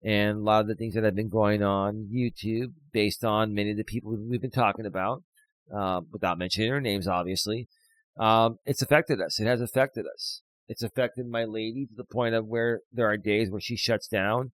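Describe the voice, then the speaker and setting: A man's voice: lowish voice, fairly even intonation, moderate pace, no echo, low-quality recording. A man co-hosting a podcast, talking passionately and often restarting his words. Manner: describes himself as loud and projecting. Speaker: podcast co-host. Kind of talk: casual podcast talk.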